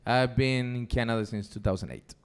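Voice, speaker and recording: A man with a Chilean Spanish accent, a Spanish native speaker, chatting casually as a podcast guest in animated, joking bursts. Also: mid-range voice, brisk, clean tone. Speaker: band leader and singer, podcast guest.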